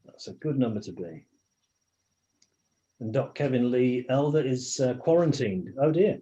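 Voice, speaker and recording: A man's voice: mid-range voice, expressive, a little slow, mellow. A man hosting an online meeting, chatting casually to greet everyone as they arrive, often restarting his sentences. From England. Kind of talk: casual welcoming chat. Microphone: video call. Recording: muffled video call audio.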